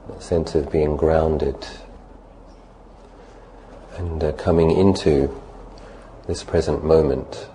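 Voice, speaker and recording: A man leading a guided meditation as a teacher, speaking calmly and unhurriedly in short phrases with long pauses. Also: a bass voice. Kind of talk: guided meditation, spoken instruction. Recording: faint background noise and ambience.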